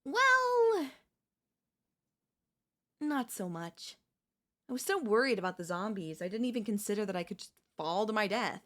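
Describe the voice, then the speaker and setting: A woman's voice: medium pitch, sing-song, normal speed, pauses often, a bit bright. A woman voicing a chatty female zombie who cheerfully and conversationally coaxes in a comedic audio roleplay. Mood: wry storytelling. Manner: conversational, coaxing, wheedling. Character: chatty, cheerfully persuasive female zombie craving human flesh.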